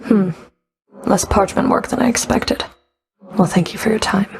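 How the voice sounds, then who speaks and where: A woman's voice: medium pitch, normal speed, gravelly, close to an everyday voice. A woman playing a stern, sardonic underworld fury in a video game, speaking in a cool, clipped, dry and commanding manner. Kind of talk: video game character voice lines.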